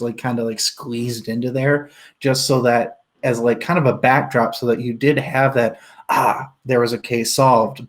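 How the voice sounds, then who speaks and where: A man with a mid-pitched voice leads a casual book discussion on a livestream. He talks in an explanatory way, thinking aloud as he works through each point. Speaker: book club host leading a discussion.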